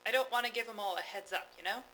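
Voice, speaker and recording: A woman's voice: on the high side, fast, bright, forceful tone, a little hiss or hum, poor audio. A woman playing a scrappy, determined and protective young leader in an audio drama, casual, blunt and conspiratorial. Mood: upbeat, secretive.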